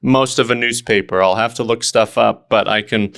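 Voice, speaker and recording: A man's voice: medium pitch, fairly even intonation, normal speed, creaky, a bit bright. A young man from Oregon talking casually and earnestly as a guest comedian, with lots of fillers and restarts. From Oregon, United States. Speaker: stand-up comedian, podcast guest. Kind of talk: casual conversation.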